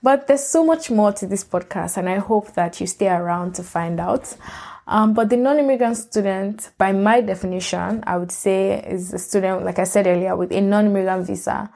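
A young woman hosts her own podcast. Her voice is mellow and soft-edged, sitting at a middle pitch for a woman. She talks in a rambling, candid way and keeps correcting herself. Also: moderate pace, vocal fry. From Nigeria. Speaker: podcast host. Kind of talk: casual solo podcast monologue.

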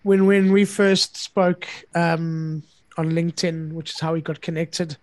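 A man's voice: on the high side, level tone, a little slow, a little mellow, dry recording. A man from South Africa hosting an interview, chatting casually with frequent stammered restarts. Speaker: podcast host.

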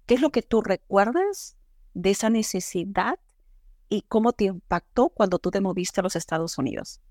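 A woman's voice: mid-range voice, lively intonation, moderate pace, a little mellow, no echo, studio quality. Chatty Peruvian-born woman podcast host. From Peru. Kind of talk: podcast interview.

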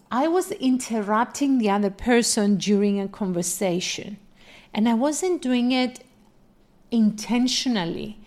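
A woman's voice: on the high side, a little mellow. Greek accented woman hosting a solo advice podcast, takes her time, explains stuff carefully. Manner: deliberate, explanatory, poses questions then answers them. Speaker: podcast host and coach. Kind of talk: instructional solo podcast talk with personal stories.

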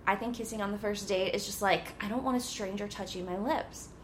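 A woman's voice: mid-range voice, fairly quick. Young woman, podcast host, giggly teasing banter, close mic, faint hiss. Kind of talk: casual podcast chat. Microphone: close podcast mic, loud.

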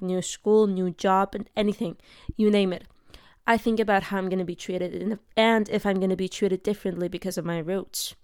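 A woman's voice: medium pitch, a little mellow, clean tone, no room sound, studio quality. A young woman from Denmark hosting her own episode, talking in an earnest, heartfelt way and searching for her words. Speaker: podcast host. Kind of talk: personal monologue.

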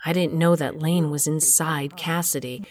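A woman playing a devoted mother who narrates her story dramatically and deliberately in an audio drama. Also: low-pitched, fairly even intonation, a crowd in the background, close to an everyday voice. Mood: tense recounting.

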